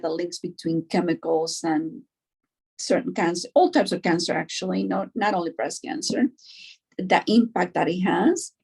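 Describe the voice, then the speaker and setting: Middle-aged Cuban-born woman, halting conversational delivery. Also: lowish voice, a little mellow. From Cuba, later Florida. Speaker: doctor, interview guest. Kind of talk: conversational personal account.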